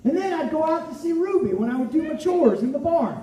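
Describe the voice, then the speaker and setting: A man's voice: very high-pitched, lively intonation, brisk, dark tone, voice pushed up. A man performing as a cheerful young farm boy, the farmer's son, telling a story to a live audience with bubbly exclamations. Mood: storytelling. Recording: roomy echo, distant mic, faint music.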